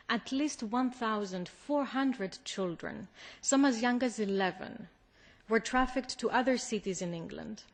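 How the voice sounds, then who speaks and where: A woman official, a native Greek speaker with a Greek accent, delivering a formal prepared speech in a measured, deliberate manner. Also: mid-range voice. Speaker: European Commission official, conference speaker.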